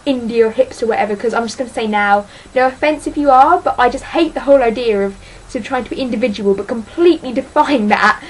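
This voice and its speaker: A woman's voice: a little high, fast talker, few pauses, low-quality recording. A teenage girl chatting casually about books in a vlog, rambling and hesitant, full of ums and sort-ofs, self-deprecating. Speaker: book vlogger.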